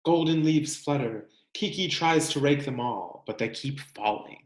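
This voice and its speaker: A man reading a children's science book aloud as a teacher, expressive and explanatory, speaking directly to young students. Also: mid-range voice, unhurried, reverberant.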